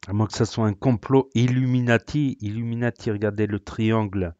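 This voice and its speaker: A man's voice: fairly low pitch, steady tone, moderate pace, darkish tone, no room sound, highs cut off. Sarcastic man doing dry reaction commentary. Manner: sarcastic, rambling, lots of hesitations. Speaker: reaction video host.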